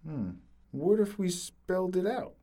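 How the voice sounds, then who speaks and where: A woman voices a folksy, fussy Southern husband for comic audio drama. He is antsy and quick to scold, in a mellow, low-ish voice with very animated pitch. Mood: nervous, excited.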